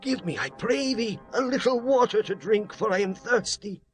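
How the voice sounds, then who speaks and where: A man's voice: sits high, animated, moderate pace, breathy edge, faint music behind, pitched above the performer's own voice. A man playing a weary fugitive biblical army captain in a dramatized scripture reading, with pleading, old-fashioned declamation.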